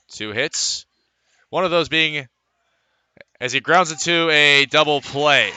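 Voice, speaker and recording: A man's voice: a little high, bright, forceful tone, a little hiss or hum. An American man calling a baseball game as a live radio play-by-play broadcaster. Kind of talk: live radio sports commentary.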